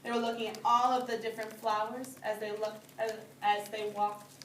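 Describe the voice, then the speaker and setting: A woman reading a picture book aloud to young children as their teacher, pausing to explain things in simple phrases. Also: on the high side, little pitch movement. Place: indoor classroom. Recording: roomy, distant microphone with background noise.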